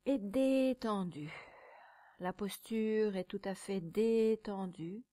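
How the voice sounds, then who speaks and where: A woman teaching yoga nidra, guiding a meditation in a calm, measured, soothing way, with a soft, sometimes breathy voice and pauses between short phrases. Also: medium pitch. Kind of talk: guided meditation.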